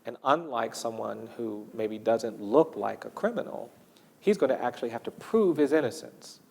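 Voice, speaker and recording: A man giving a measured, formally phrased explanation in a documentary interview. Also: mid-range voice, expressive, vocal fry, darkish tone, breathy edge, long reverb tail.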